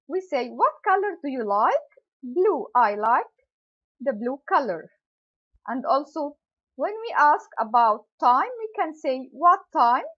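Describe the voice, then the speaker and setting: A woman's voice: sits high, very animated, slow, lo-fi sound. A woman teacher carefully explaining question words to young pupils, acting out sample questions and answers. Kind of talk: English grammar lesson.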